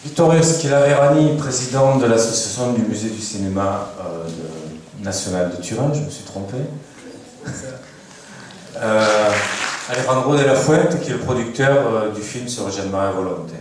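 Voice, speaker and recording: Festival host, halting delivery, recorded from afar in a reverberant cinema hall. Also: male voice, lowish voice, background noise. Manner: hesitant, many fillers. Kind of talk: introducing a screening evening. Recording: echoey hall, distant mic.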